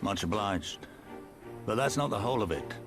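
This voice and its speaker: An older resistance commander in a fantasy game, proud, wry and tired. His low voice moves in measured, blunt phrases with folksy turns. Music plays clearly behind him. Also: expressive. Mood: weary, frank. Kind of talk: video game cutscene acting.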